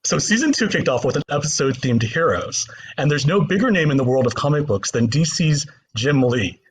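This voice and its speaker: A man's voice: a little high, lively intonation, a little fast, slightly rough. A man moderating a panel as a journalist, delivering prepared introductions and questions.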